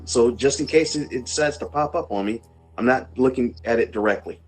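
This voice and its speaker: Mid-pitched man playing a tabletop game. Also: fairly quick, darkish tone, breathy edge, faint music behind. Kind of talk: casual improvised roleplay.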